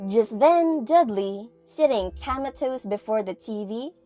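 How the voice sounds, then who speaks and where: A woman's voice: a little high, animated, measured pace, mellow. Woman narrating an audio drama over music.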